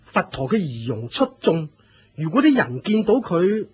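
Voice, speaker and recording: A pompous, high-voiced husky sect leader. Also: male voice, sing-song, moderate pace, a little mellow, full character voice. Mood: troubled, evasive. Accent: Hong Kong Cantonese. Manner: pompous, theatrical, shifting from courteous to scornful. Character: proud, jealous male leader of a fire-worship sect. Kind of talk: Cantonese radio drama acting. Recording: dull lo-fi radio drama recording.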